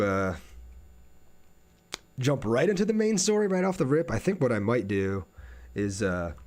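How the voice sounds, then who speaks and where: A man streaming a game, chatting casually and in a laid-back way with his viewers as he plays. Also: medium pitch. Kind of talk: casual livestream chat over gameplay.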